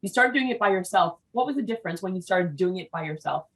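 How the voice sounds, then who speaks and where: A woman's voice: fairly low pitch, expressive, fast talker. A woman from the United States hosting an interview show, bubbly and talkative, restarting her sentences often. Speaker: show host. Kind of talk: casual interview chat.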